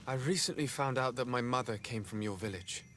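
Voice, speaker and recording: Earnest male game adventurer, played by a man, courteous, medium pitch, faint noise behind. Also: fairly quick, fairly bright tone, close to an everyday voice. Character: polite, earnest male adventurer seeking family. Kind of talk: video game cutscene acting.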